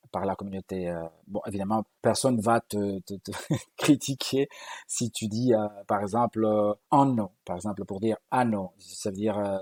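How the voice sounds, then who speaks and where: A man from Galicia, a native Galician speaker with a Spanish accent, answers interview questions as a linguist. His voice sits low and slightly husky, recorded dry and close with no room sound. He talks hesitantly, often restarting and repeating words as he explains. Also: a little mellow, no echo. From Galicia, Spain. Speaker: linguist guest. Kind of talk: interview conversation.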